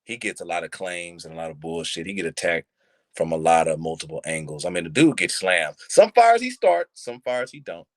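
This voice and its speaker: Deep-voiced young man, lively street talk. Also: brisk, dry recording. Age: 30s. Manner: animated, street slang, repeats "you see what I'm saying". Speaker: podcast co-host. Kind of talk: casual chat. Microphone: live stream mic.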